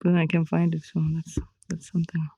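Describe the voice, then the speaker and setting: A woman with a fairly low voice hosts a casual podcast chat. She is recorded dry and close, with no room sound. She often hesitates and restarts her sentences, and laughs easily. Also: completely flat delivery, a little fast, creaky, mellow, breathy edge, no echo. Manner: hesitant, laughing, searching for words. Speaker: podcast host. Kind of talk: casual conversation.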